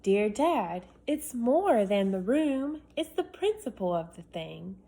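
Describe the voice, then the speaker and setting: A woman's voice: medium pitch, sing-song, slow, dark tone, full character voice. A woman voicing a pushy young boy who writes letters begging his dad for his own room, whiny and overdramatic. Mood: pleading.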